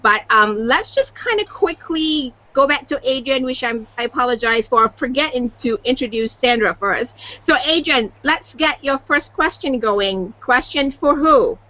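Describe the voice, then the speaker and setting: An excitable woman hosts a casual online chat, cheering guests on and keeping the conversation moving. Her voice is a touch high and very bright, and it comes through the muffled, lo-fi audio of a video call. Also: measured pace, hardly any pauses. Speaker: host. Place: home setup on a video call. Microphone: computer microphone on a video call.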